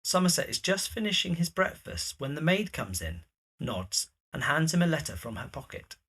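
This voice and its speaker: A man's voice: on the high side, very animated, normal speed, fairly bright tone, breathy edge. A man narrating the scenes of an audio drama from a script.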